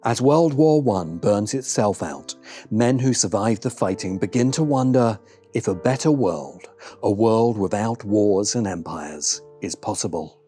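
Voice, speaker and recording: A man narrates a scripted video essay like a documentary. His mid-pitched voice moves expressively, with deliberate dramatic pauses for emphasis, over faint music. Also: warm tone. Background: background music.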